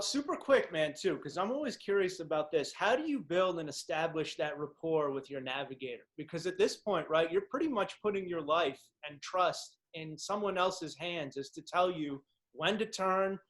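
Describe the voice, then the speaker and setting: A man's voice: high-pitched, normal speed, a bit bright. A man whose first language is Czech, hosting a casual interview and telling stories with enthusiastic, slangy energy. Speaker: podcast host.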